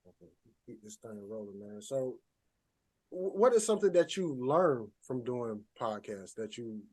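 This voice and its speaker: A man's voice: mid-range voice, very animated, normal speed, mellow, husky, low-quality recording. A man hosting a podcast in a casual interview style, speaking informally with slangy phrasing. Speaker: podcast host.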